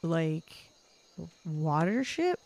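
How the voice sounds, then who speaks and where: A woman's voice: low voice, very animated, very slow, a little mellow, no echo, full character voice. A woman voicing a young male gnome soldier in a tabletop fantasy game, hesitant, polite and subdued. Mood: puzzled. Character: Theodore, young male gnome soldier, dutiful, meek, anxious.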